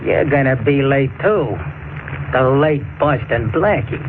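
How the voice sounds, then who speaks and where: Cocky young crook, crackly old radio. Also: male voice, medium pitch, expressive, slow pace. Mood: menacing. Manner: slangy, tough-talking, uneducated grammar. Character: young cocky escaped convict posing as cabin boy. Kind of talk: 1940s radio acting. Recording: tinny, noisy 1940s radio recording.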